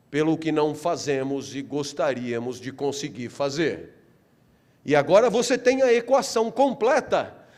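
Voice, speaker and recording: A middle-aged man with a Brazilian accent gives a motivational philosophy lecture in a fairly high voice. His delivery is theatrical, full of rhetorical questions and repetition. Slow, deliberate phrases climb into loud, animated peaks. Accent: Brazilian Portuguese.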